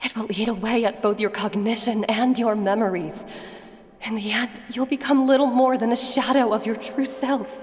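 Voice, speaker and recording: A woman voicing a devoted, gentle and earnest mother in a video game. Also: fairly high pitch, fairly even intonation, normal speed, big-room sound, lightly acted. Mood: grave. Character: devoted wife and mother, a herb gatherer.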